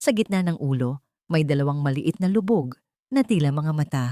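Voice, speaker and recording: A woman narrating a sentimental story in a scripted, dramatic style with emotive, deliberate phrasing. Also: low-pitched, animated, warm tone, no room sound, clean studio sound.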